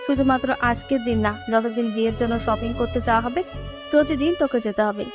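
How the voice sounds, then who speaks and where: A woman plays a stern middle-aged mother in an audio story drama. Her high voice is insistent and scolding, with clear fiddle-laced music underneath. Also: a little fast, natural voice. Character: stern, exasperated middle-aged Bengali mother figure, commanding.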